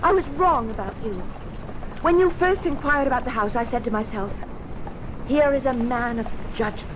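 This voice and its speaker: Young female homeowner played by a woman, colonial radio mystery, high voice, formal protesting manner, noisy vintage audio. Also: moderate pace, lightly acted. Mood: proud, protesting. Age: young adult. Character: proud, indignant young colonial lady selling her house. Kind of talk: radio mystery drama acting. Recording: noisy old radio recording.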